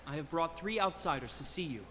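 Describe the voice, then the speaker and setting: An actor playing a dutiful young guard captain who speaks formally and solemnly in a game cutscene. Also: male voice, a little high, animated, a little fast, slight background noise. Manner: formal, solemn narration of history. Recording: loud game music behind. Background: game music.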